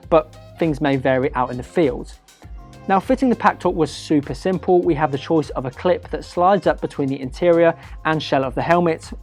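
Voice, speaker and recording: British man presenting over music, slightly higher-pitched. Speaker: product reviewer and presenter. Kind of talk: semi-scripted video product review, conversational. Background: background music.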